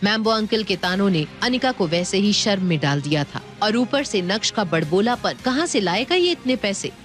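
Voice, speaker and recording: A woman's voice: medium pitch, sing-song, moderate pace, faint music behind. A woman narrating a scripted dramatic audio story.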